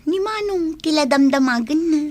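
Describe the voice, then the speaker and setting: High-voiced young Ilocano woman. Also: expressive, normal speed, darkish tone. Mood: coy. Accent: Ilocano, northern Philippines. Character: friendly young village woman, younger sister being courted. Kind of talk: Ilocano radio drama acting.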